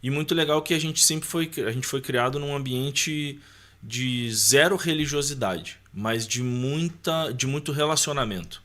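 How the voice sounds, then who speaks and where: A man's voice: medium pitch, a little slow, pushed and bright. A man with a Brazilian accent speaking as a podcast guest, sharing personal faith and life in an informal, conversational way, often checking for agreement.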